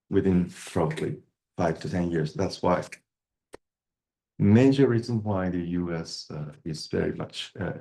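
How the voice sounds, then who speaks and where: A middle-aged man whose first language is not English, giving a university lecture, halting with many fillers and restarts. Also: deep voice, vocal fry, dark tone, low-quality recording.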